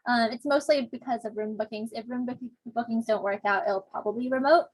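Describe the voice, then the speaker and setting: A woman's voice: fairly high pitch, brisk, breathy edge, dry recording. Chatty young woman TA on a video call. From Southern California. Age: young adult. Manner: chatty, filler-heavy, self-deprecating. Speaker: teaching assistant. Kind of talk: casual online discussion section intro.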